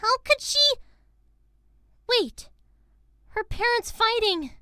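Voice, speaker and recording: A woman voicing an anxious young cartoon pony filly in a dramatic story reading, halting and fretful. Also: very high-pitched, lively intonation, sharp tone, no room sound, studio quality, full character voice. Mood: worried. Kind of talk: dramatic fan fiction audio reading.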